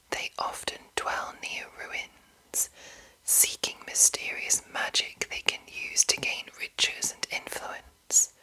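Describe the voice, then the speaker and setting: A woman whispering gently in an unhurried ASMR style, with a breathy, unvoiced delivery. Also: rough recording. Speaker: host of a whispered fantasy reading series. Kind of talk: whispered ASMR commentary and read-aloud.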